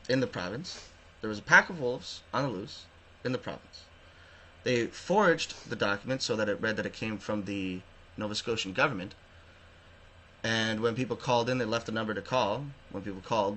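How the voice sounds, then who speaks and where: A Canadian man with a fairly deep, sharp-edged voice delivers opinionated commentary on the news. He is emphatic and sardonic, with coarse language mixed in. There is faint noise in the recording. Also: low-quality recording. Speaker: online commentator hosting his own show. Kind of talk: opinionated news commentary, partly reading articles aloud.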